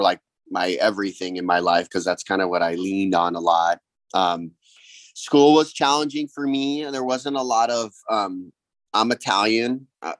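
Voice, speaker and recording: A man from Hawaii, a guest on a podcast, talking about his life in a hesitant, reflective way with many pauses and restarts. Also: lowish voice, no room sound, poor audio. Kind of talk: casual interview.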